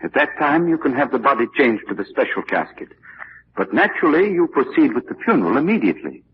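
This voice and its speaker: An actor playing a polite, easily astonished undertaker in a 1940s radio drama, hesitant and deferential. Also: male voice, mid-range voice, sing-song, fast, lightly acted. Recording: dull, hissy 1940s radio recording.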